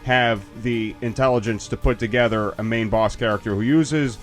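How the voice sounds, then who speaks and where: A man's voice: medium pitch, moderate pace, faint music behind. A man casually chatting and joking as a commentator while a game's credits roll. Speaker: let's play commentator. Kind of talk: casual game commentary chat.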